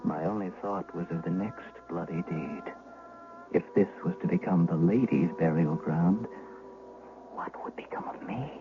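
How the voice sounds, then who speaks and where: Low-voiced, haunted storytelling shepherd. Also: male voice. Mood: fearful. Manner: grave, dramatic, deliberate storytelling. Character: haunted shepherd recounting his dread-filled tale. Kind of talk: 1970s radio drama first-person narration. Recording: narrowband vintage radio recording.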